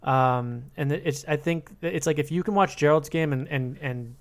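A man's voice: fairly high pitch, normal speed. A man co-hosting a podcast, reasoning aloud about film in casual, conversational speech with repeated words. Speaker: podcast co-host.

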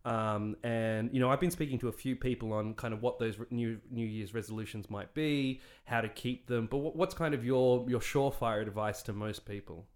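A man's voice: mid-range voice, moderate pace, few pauses. A man co-hosting a podcast in casual chat, friendly and a little nervous as he introduces and questions a guest. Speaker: podcast co-host.

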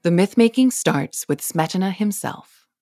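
A woman hosting a podcast, narrating a scripted story in a clear, measured way. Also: fairly low pitch, animated, mellow, no echo, studio quality, faint music behind. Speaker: podcast host.

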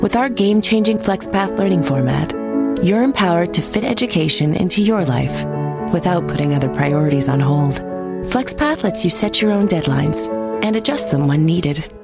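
An American woman announces a commercial over prominent music. Her voice is fairly low, and she delivers scripted narration in the manner of an advertisement. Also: animated, moderate pace.

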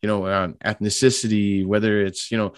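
A man's voice: lowish voice, little pitch movement, normal speed, smooth, no echo, studio quality. A man hosting an interview, talking casually and encouragingly, often tagging on "right?". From Canada. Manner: easygoing, encouraging, many "you know" and "right?". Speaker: podcast host.

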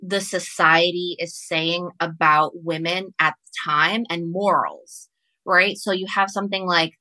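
Woman, native Spanish speaker, lower-pitched, very bright voice, casual podcast guest, self-correcting. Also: no echo, poor audio. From Ecuador. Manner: halting, self-correcting, repeats phrases. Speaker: guest author. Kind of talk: casual podcast interview, storytelling.

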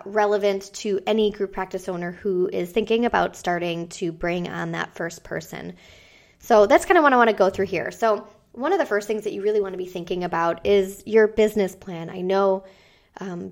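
A woman with a cold hosts a podcast, her voice raspy and gravelly. She talks through her points in a relaxed, conversational way with plenty of ums. Her pitch sits in the middle range. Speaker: podcast host. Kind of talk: solo business podcast talk.

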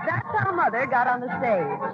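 A woman's voice: high-pitched, huge pitch swings, moderate pace. A woman narrating a 1940s radio drama in a fond storytelling manner, on a dull broadcast with music.

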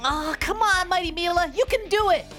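A woman's voice: a little high, expressive, moderate pace, fairly bright tone. A woman voicing an eager, earnest young boy superhero in a children's adventure story. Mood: cheering. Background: faint music.

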